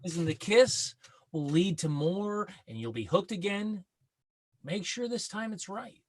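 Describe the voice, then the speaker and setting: A man's voice: sits high, lively intonation, a little slow. A man playing a grumpy, bossy best friend who gives blunt advice in a sitcom table read. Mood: stern advising. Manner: blunt, exasperated, emphatic. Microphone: video call.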